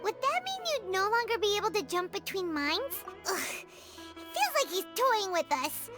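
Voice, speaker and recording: A woman voicing a tiny, excitable childlike fairy companion girl in a video game, exclamatory and protective. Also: very high-pitched, moderate pace. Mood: relieved, worried. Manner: exclamatory, refers to self in third person. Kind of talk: video game character dialogue. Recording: loud music behind the voice.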